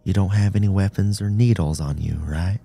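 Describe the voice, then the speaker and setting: A man's voice: deep voice, fairly quick, vocal fry, mellow, breathy edge, pitched above the performer's own voice, exaggerated voice. A man voicing a polite rookie female police officer in an audiobook, asking questions courteously and carefully. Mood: gentle, cautious.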